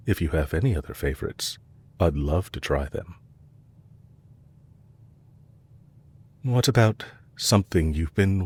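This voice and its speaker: Man acting as a deep-voiced, courteous villain boss. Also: breathy edge, heavily acted. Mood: politely probing. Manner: polite, measured, softly teasing. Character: courteous, calculating male villain, leader of an enemy organization. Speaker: audio roleplay performer. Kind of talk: intimate scripted audio roleplay addressed to the listener.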